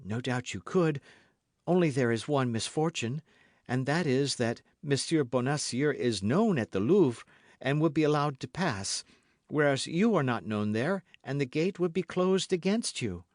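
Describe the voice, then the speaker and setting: Man voicing an anxious young gentlewoman, lifted pitch. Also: lively intonation, unhurried, no room sound, studio quality, lightly acted. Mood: anxious, explaining. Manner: formal, fretful, pleading. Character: anxious, guarded young gentlewoman, frightened yet proper. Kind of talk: dramatised audiobook reading.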